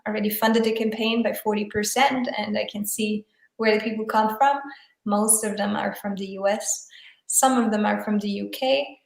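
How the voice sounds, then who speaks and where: A woman's voice: mid-range voice, vocal fry, roomy sound, poor audio. A woman whose first language is German, a guest chatting casually on a video call, hesitant with many fillers and restarts. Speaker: guest, tarot deck creator and photographer. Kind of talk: casual interview chat.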